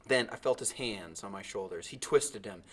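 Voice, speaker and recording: A man narrating a storybook aloud in a dramatic, expressive way. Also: on the low side, normal speed, slightly rough. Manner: dramatic, animated.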